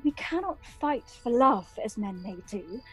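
A woman's voice: fairly high pitch, expressive, measured pace, breathy edge, soft background music, low-quality recording. A woman playing a lovesick, doggedly devoted young woman in a Shakespearean radio play, pleading ardently. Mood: indignant, resolute.

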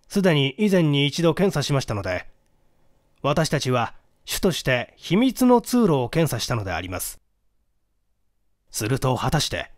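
Audiobook police detective, played by a male reader: formal, orderly, explanatory, lively intonation, close dry sound. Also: on the high side, dry recording. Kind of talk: dramatic audiobook reading.

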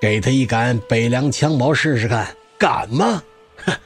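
Old male wanderer for audio drama: folksy, garrulous spear expert, rough-edged husky voice, lively swings. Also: medium pitch, a little slow, faint music behind, exaggerated voice. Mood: opinionated, proud.

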